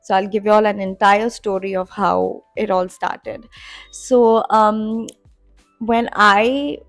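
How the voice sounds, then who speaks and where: A young woman in her twenties chats straight to camera, rambling through her story with plenty of fillers and restarts. Her voice has a gravelly, fry-tinged edge, and the sound is dry and close. Soft music plays faintly behind her. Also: mid-range voice, fairly even intonation, slow, no room sound. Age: 20s. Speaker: content creator. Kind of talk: casual vlog talk to camera. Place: home in Dubai.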